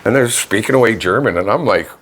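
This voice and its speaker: A man's voice: fairly low pitch, expressive, fast talker, fairly bright tone. A Canadian man hosting a local online radio show, casually chatting through community news in a folksy, colloquial way from a noisy home studio.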